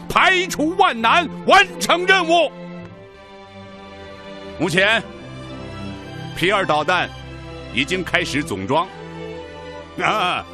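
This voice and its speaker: A man's voice: high-pitched, heavily acted. A male actor playing a resolute missile institute leader, giving a declamatory, rousing radio drama speech. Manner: declamatory, emphatic.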